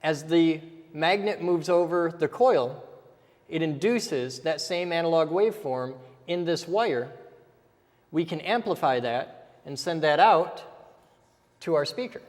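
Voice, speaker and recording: Man, somewhat high voice, casual step-by-step teacher, "okay?" check-ins, long room reverb. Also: unhurried, a little mellow. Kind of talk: casual technical explanation while drawing.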